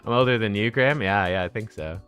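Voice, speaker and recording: A man's voice: on the low side, fast talker, a bit bright, faint music behind. A man in his thirties chatting casually with viewers on a game livestream, rambling and joking at his own expense. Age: 30s.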